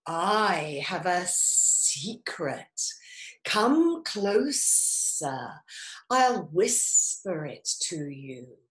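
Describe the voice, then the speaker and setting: A woman voicing a sly snake from a children's story, coaxing in a hissing, drawn-out way. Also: fairly low pitch, big pitch swings, exaggerated voice. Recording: roomy, echoing sound.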